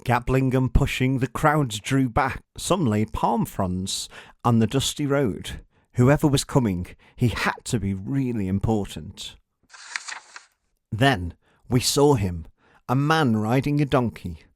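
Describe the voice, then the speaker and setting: A man's voice: mid-range voice, animated, slow pace, dry recording, clean studio sound. A man narrating a scripted story for children.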